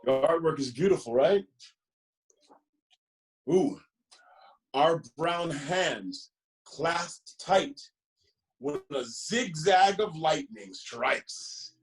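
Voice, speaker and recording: A man reads a picture book aloud to a child in a fairly high male voice. He takes his time, stretching key words gently and pausing for asides. Faint guitar music plays behind him. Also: very animated, rough recording. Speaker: storybook reader.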